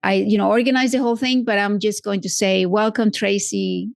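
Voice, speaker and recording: A woman's voice: mid-range voice, fairly even intonation, brisk, creaky, dry recording, studio quality. A woman guest on a podcast, chatting casually with many restarts and self-corrections. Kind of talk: casual interview chat.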